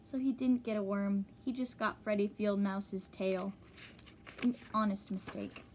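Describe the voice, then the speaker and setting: A woman teacher reading a children's storybook aloud, pausing to explain and ask young listeners questions. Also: medium pitch, fairly even intonation, a little mellow, a little hiss or hum.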